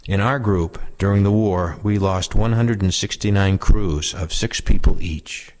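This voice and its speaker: Low-voiced man reading memoirs. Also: unhurried, darkish tone. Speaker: reader of veterans' oral histories. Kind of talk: reading first-person war memoirs aloud.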